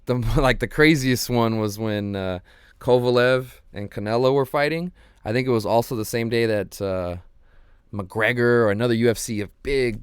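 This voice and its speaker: Laid-back low-voiced man rambling casually. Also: smooth, dry recording, studio quality. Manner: laid-back, rambling, lots of ums and slang. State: slightly hoarse. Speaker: podcast host. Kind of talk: casual solo livestream chat.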